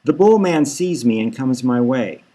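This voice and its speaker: Male author-narrator for scripted dark fiction audiobook, mid-range voice. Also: medium pitch, expressive, measured pace. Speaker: author reading own novel.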